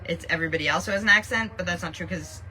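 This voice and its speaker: A woman's voice: fairly low pitch, racing, bright, forceful tone, poor audio. A Canadian woman with a Canadian accent, co-hosting a podcast and chatting in a rambling way full of fillers. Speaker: podcast co-host. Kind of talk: casual chat.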